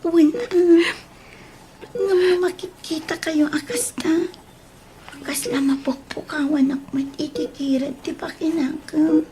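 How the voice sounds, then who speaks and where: A woman playing a sweet, worried young child in a radio drama, pleading in a breathy voice. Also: high-pitched, measured pace, dark tone. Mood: sad, hushed. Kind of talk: tearful radio drama acting. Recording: faint background noise.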